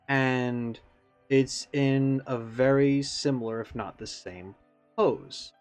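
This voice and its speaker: Man musing in unhurried, casual commentary. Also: medium pitch. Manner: musing, speculative. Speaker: YouTube reaction host.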